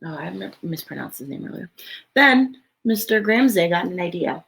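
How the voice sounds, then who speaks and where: A woman reading a picture book aloud to children in an expressive storytelling way, with casual, chatty asides. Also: on the low side, moderate pace, gravelly.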